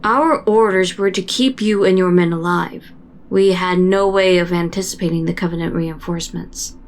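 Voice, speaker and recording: Woman acting a cool, lowish-voiced military investigator. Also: steady tone, normal speed, natural voice. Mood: controlled, firm. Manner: controlled, official. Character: cool, formal military investigator questioning a soldier. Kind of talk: sci-fi audio drama acting.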